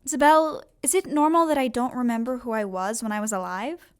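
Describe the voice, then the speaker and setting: A woman's voice: fairly high pitch, fairly quick, clear, resonant tone, lightly acted. A woman playing a bewildered teenage zombie girl who dramatically narrates her own story in an audio drama. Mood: bewildered, curious. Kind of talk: scripted first-person audio drama narration.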